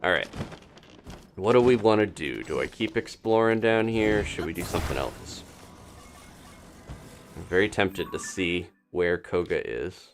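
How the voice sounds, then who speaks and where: A man's voice: low-pitched, expressive, unhurried, pauses often, faint music behind. A man doing casual live commentary on a video game as he plays, thinking aloud. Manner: thinking aloud, talking to himself and his game character.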